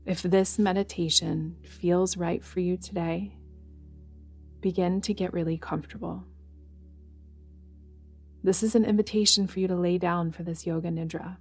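A woman leading a guided yoga nidra meditation in a gentle, soothing manner, leaving long pauses between phrases. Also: lowish voice, steady tone, breathy edge. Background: background music.

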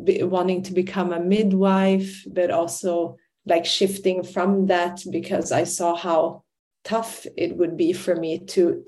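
A young adult woman from Sweden speaks as a podcast guest, her delivery unhurried and halting, full of fillers and restarts. Her voice is mellow and sits a little low. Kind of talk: reflective interview talk.

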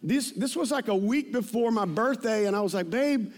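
A man in his fifties preaches in a notably high-pitched voice. His delivery is informal and conversational, like someone telling personal stories from the pulpit, and he often repeats phrases to drive them home. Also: animated, normal speed, darkish tone. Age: 50s. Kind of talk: conversational sermon with personal anecdotes.

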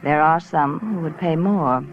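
An actress playing a sultry, teasing femme fatale professor in 1940s radio noir, languid and insinuating. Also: female voice, low-pitched, unhurried. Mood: sly, languid. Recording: muffled old radio recording with music.

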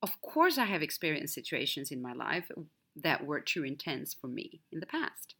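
A woman's voice: deep voice, sing-song, moderate pace, fairly bright tone, husky. A woman whose first language is Swedish hosts a podcast, telling a personal story in a candid, reflective solo monologue. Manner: candid, storytelling, wide swings in emphasis. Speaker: podcast host.

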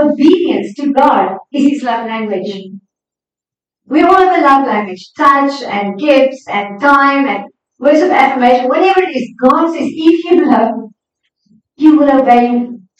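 Woman preacher, high voice, unhurried and emphatic sermon delivery, heard at a distance in a very echoey space. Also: expressive. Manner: emphatic, exhorting. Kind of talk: sermon to a congregation. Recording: echoey hall, distant, slightly dull sound.

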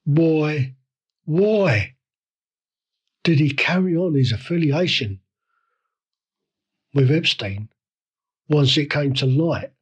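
A man hosting a solo podcast, ranting with indignant rhetorical questions and halting restarts, his voice a little croaky from a cold. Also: fairly high pitch, animated. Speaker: podcast host. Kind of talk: solo opinion rant.

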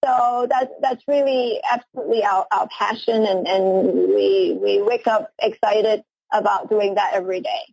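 A woman's voice: medium pitch, steady tone, moderate pace, vocal fry, few pauses, a little mellow, husky, dry recording, poor audio. A woman in her forties from Hong Kong, a company CEO answering interview questions earnestly, often repeating her first words. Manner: earnest, eager, repeats words when starting sentences.

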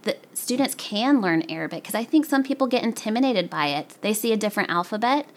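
A woman's voice: medium pitch, expressive, quick pace. A woman from the American South giving earnest, enthusiastic, conversational interview answers as an education specialist. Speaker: professional learning specialist, podcast guest.

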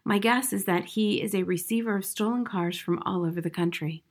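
A woman reading the part of a gentle, kindly lady teacher in a storybook, in a soft, quiet, tender manner. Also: mid-range voice, fairly quick, lightly acted. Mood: calm, concerned.

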